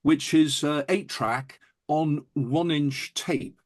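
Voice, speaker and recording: A man's voice: fairly high pitch, takes it slowly. A man talking enthusiastically and telling anecdotes as an interview guest. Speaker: interview guest, audiophile recording producer. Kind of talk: animated anecdotal interview talk.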